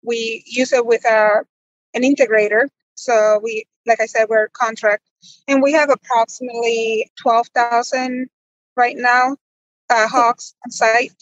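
A woman's voice: fairly high pitch, steady tone, unhurried, dull audio. A woman in her thirties from the Republic, answering interview questions conversationally as a farmer guest. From Dominican Republic.

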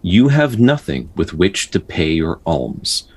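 A solemn messenger of the dead voiced by a man from a folktale. The voice is deep and husky, giving formal instructions with calm command. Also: slow, exaggerated voice.

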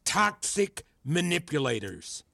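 A man's voice: medium pitch, expressive, normal speed, breathy edge. Condescending, manipulative father-figure mentor. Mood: indignant, agitated. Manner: patronising, articulate, controlling. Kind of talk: audio drama acting.

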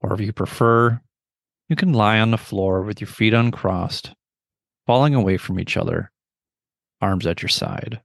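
A middle-aged man leading a guided meditation with measured, gentle, instructional phrasing and pauses between phrases. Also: lowish voice, vocal fry, no echo, studio quality.

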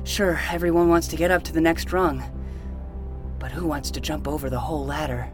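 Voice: medium pitch, fairly even intonation, brisk, music in the background, natural voice. An actor playing a dramatic twelve-year-old boy who talks like a self-narrating hard-boiled private detective in a fiction podcast. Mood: brooding, self-important. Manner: theatrical, self-narrating in third person.

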